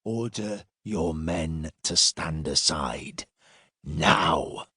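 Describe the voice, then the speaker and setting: A man voicing a weary, steely male Roman agent in an audiobook, speaking in a measured, dry, firm way. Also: medium pitch, expressive, dry recording, natural voice. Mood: quietly threatening.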